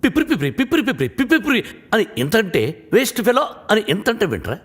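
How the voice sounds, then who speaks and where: A man's voice: on the high side, sing-song, moderate pace, breathy edge. A man playing a pompous, muddled older uncle in a Telugu film comedy. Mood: indignant. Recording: film soundtrack with loud music.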